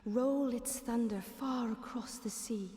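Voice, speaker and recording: An actress playing a solemn mystical lady who slowly recites prophetic verse in a fantasy game. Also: a little high, warm tone, close to an everyday voice. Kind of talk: slow dramatic verse recitation. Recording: echoey long hall reverb.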